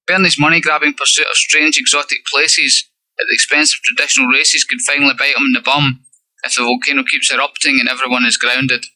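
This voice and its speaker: A man's voice: medium pitch, level tone, brisk, bright, forceful tone, poor audio. A man giving wry, opinionated commentary on a podcast.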